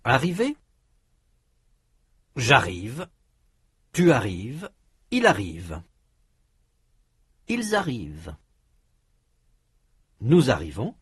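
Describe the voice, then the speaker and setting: A man reading drill questions for a language course, in slow prompts with lively intonation. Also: medium pitch, husky, no echo, clean studio sound.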